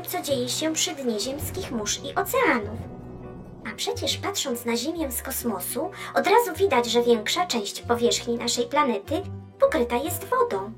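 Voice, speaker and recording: A woman voicing a chatty, cheerful female space probe who explains science in a children's educational cartoon, teasing and lively. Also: on the high side. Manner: bubbly, lively, playfully teasing. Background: background music.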